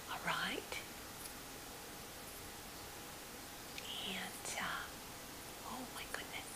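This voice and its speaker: A woman playing a gentle, caring psychotherapist in an ASMR roleplay, speaking softly and often whispering in a hesitant, reassuring way. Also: fairly low pitch, background noise. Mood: gentle, delighted, then gently concerned. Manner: hesitant, soothing, reassuring, with many soft pauses. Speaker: ASMR performer.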